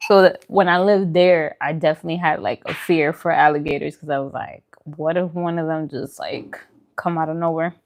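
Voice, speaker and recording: Casual, chatty woman with lowish pitch. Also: steady tone, moderate pace, vocal fry. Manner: informal, colloquial, chatty. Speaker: vlogger hosting a mukbang livestream. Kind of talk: casual livestream chat with viewers while eating.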